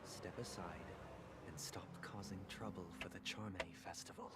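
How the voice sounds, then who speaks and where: A stern, very deep guard. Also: male voice, fairly even intonation, moderate pace, fairly bright tone. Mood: commanding. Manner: commanding and curt. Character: stern young man guarding a festival.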